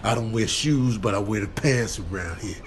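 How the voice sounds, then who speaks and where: A man's voice: on the low side, moderate pace, heavy background noise. A man doing comedic improvised animal voiceover in playful, slangy patter.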